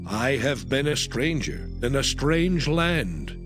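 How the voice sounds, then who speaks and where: A man playing a solemn Hebrew shepherd in a dramatized scripture reading, deliberate in his delivery. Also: mid-range voice, big pitch swings. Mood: wistful. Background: music.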